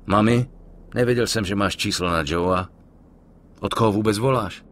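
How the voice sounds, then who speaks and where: An actor playing a cool, wary young war veteran turned petty gangster in a crime game dub. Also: male voice, lowish voice, lightly acted. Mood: polite, subdued. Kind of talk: dubbed crime game dialogue.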